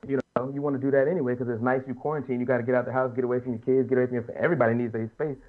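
Fast-talking man in his late thirties, muffled audio. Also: mid-range voice, flat delivery. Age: late 30s. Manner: earnest, emphatic, colloquial, repeats and rephrases as he argues. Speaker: podcast panelist. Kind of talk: casual opinionated panel talk.

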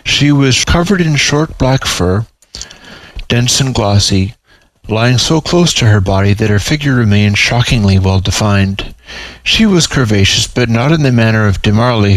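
A man's voice: on the low side, moderate pace, dry recording, low-quality recording. A man narrating a piece of fiction, reading his own story aloud.

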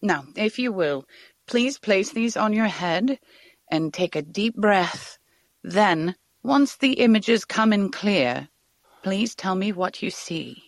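A woman's voice: mid-range voice, animated, takes it slowly, no room sound. An actress playing a poised, persuasive woman scientist, formal and polished, in an audio drama. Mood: intrigued.

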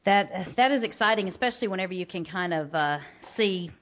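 A woman's voice: medium pitch, animated, brisk. A woman hosting a business podcast in a cheerful, chatty interview style. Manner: cheerful and chatty, with some fillers. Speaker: podcast host. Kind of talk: casual interview chat. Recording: dull lo-fi podcast audio.

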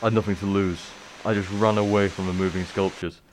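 A man presenting a community radio show and reading a spooky story in a calm, measured way. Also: sits low, background noise.

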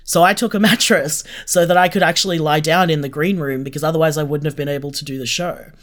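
A man's voice: on the high side, steady tone, fast talker, fairly bright tone. A man giving chatty, informal answers as an interview guest. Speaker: interview guest, podcast creator. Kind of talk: casual conversational interview answers.

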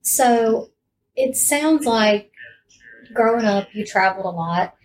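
A woman's voice: fairly high pitch, animated, slow, a little hiss or hum, low-quality recording. A woman, interviewing a guest in a casual, conversational style as a podcast host.

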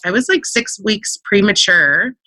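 A woman's voice: medium pitch, expressive, a little slow, pushed and bright, no room sound. A woman, a podcast guest, telling personal stories in a chatty way with lots of filler words. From Central Virginia, USA. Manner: chatty storytelling, lots of "like" and "you know". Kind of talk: casual interview chat.

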